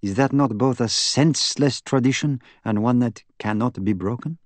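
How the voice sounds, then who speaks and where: A man's voice: medium pitch, normal speed, no room sound, voice pushed up, close to an everyday voice. Audiobook role: male actor as earnest, fussy middle-aged Belgian detective, French-speaking accent, precise and insistent, very dry close sound. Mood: lecturing. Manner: precise, formal, emphatic phrasing. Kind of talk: audiobook character acting.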